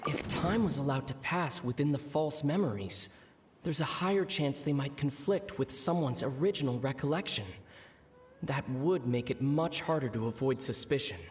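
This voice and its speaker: A man voicing a thoughtful, earnest young traveler hero in a video game, reasoning aloud in a measured way. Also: medium pitch, close to an everyday voice. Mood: pensive.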